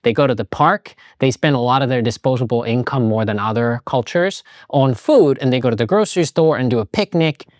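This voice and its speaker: A man's voice: mid-range voice, fairly quick, few pauses, dry recording, studio quality. A middle-aged man from Finland, talking in a reflective, loose, casual vlog style. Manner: reflective, loose, hedging with "like" and "kind of". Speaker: vlogger.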